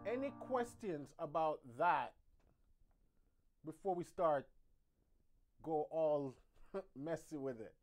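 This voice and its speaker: A man teaching piano on a live stream, chatting casually with viewers and working through his setup out loud. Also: a little high, takes it slowly, pauses often. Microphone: streaming mic.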